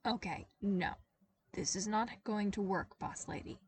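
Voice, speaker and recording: A woman's voice: medium pitch, a little slow, natural voice. A tired, sarcastic young intern, read by a woman, wry and a bit whiny, in a mid-height voice. She sounds fed up and ready for bed. Faint hiss sits behind the voice, which is a little far from the mic. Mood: exasperated.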